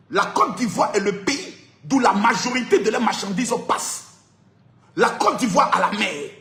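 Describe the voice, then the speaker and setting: A man giving an animated political talk, sing-song and laughing as he speaks. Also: very high-pitched, reverberant.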